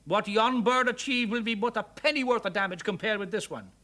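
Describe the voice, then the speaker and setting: Narrator playing Irish factory man, storybook character, hearty, booming, folksy lilt, kindly, emphatic. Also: a little fast, bright, forceful tone, lightly acted. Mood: alarmed.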